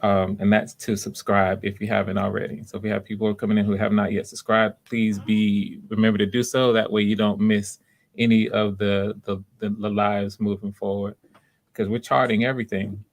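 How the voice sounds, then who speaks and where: A man hosting a livestream, explaining things casually in a halting way and often repeating himself. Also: lowish voice, level tone, vocal fry. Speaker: livestream host running voice auditions. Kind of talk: casual live announcements to viewers.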